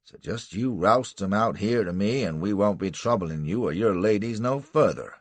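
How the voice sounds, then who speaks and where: A man voicing a swaggering, crude male slave catcher who talks in rough slang. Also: fairly low pitch, lively intonation, normal speed, no echo. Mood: defiant. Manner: rough drawling slang, defiant.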